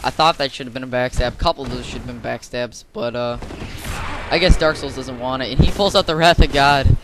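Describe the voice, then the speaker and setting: A young man, slightly hoarse, giving rambling, casual commentary over his own gameplay as a channel host. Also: mid-range voice, a little fast, background noise. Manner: chatty, rambling, with fillers and restarts.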